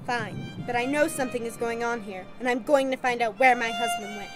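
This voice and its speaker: A woman's voice: fairly high pitch, a little fast, over music, lightly acted. A woman playing a distressed but determined young newlywed in a radio play. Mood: anxious, defiant.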